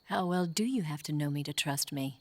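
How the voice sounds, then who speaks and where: An actress playing a composed, gently teasing female agent guiding a soldier in a video game cutscene. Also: deep voice, brisk, no room sound.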